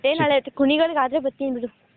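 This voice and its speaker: High-pitched young woman on a tinny phone line. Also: steady tone, fairly quick. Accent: Karnataka. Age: young adult. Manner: chatty, colloquial. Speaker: girlfriend on a phone call. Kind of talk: casual private phone chat. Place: phone call recording.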